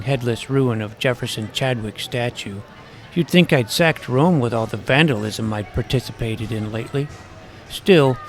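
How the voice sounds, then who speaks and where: Gravelly, earnest boy superhero played by a man. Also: mid-range voice, lightly acted. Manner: mock-dramatic, earnest. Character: boy superhero narrator, junior high age, earnest and self-important. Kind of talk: scripted first-person story narration.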